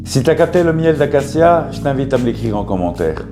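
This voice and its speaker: A man with a cold and a sore, hoarse throat, casually talking the viewer through simple home recipes with jokes along the way. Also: mid-range voice, big pitch swings, brisk. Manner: joking, chatty, talks straight to the viewer. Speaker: cooking vlogger. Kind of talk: casual cooking video narration. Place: his bedroom. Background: guitar music.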